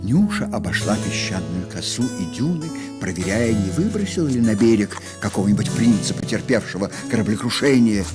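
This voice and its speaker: Expressive deep-voiced male cartoon narrator. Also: a little fast, few pauses. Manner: expressive, wry. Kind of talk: scripted storybook cartoon narration. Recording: studio voice over music.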